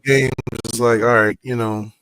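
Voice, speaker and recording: A man co-hosting a casual sports podcast, relaxed and conversational, repeating words as he thinks aloud. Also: mid-range voice, normal speed, clean tone, poor audio. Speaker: podcast co-host.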